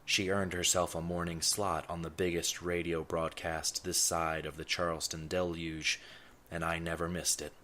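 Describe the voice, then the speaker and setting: A man playing a self-important, brooding ponderer, narrating his thoughts in wordy, deadpan scripted first person. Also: sits low, normal speed, a little hiss or hum. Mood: brooding, musing.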